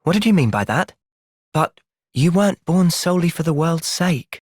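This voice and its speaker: A man playing an earnest, thoughtful young soldier and party leader in a video game, sincere and resolute in a measured way. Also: fairly high pitch, lively intonation, breathy edge, no echo, studio quality. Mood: calm, thoughtful. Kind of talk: video game cutscene acting.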